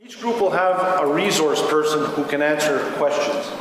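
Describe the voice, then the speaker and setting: Higher-voiced man in a very echoey hall. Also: normal speed. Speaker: meeting facilitator. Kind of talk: addressing a public meeting. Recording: very echoey hall, clear background noise.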